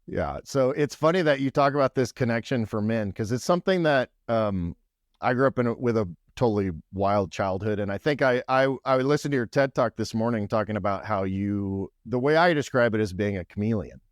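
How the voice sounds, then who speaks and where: A man hosting a casual podcast conversation, with a low, deep, loud voice and a talkative, go-getter manner. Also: fairly quick, no room sound, studio quality. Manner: talkative, go-getter energy, frequent restarts. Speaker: podcast host.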